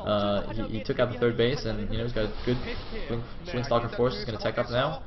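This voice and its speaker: A man commentates live on a competitive video game in a casual, think-aloud way. His voice sits at an ordinary male pitch. The audio is dull and lo-fi, with a crowd clearly audible behind him. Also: little pitch movement, a little fast. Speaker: esports commentator.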